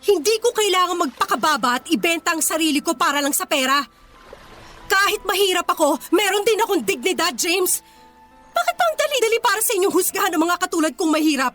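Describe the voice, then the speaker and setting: A woman's voice: very high voice, lively intonation, quick pace, a bit bright, faint music behind. A Filipino woman playing a proud, wounded young working-class heroine in a radio drama, speaking with earnest emotion. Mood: indignant, defiant.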